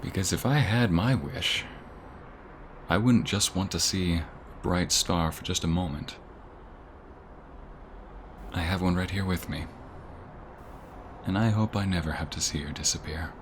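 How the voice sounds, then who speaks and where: A man's voice: sits low, measured pace, long pauses, noisy background. A man playing a devoted, sentimental boyfriend in a romantic ASMR roleplay, speaking intimately and earnestly. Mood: soft, sincere. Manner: intimate, earnest, often hushed and breathy.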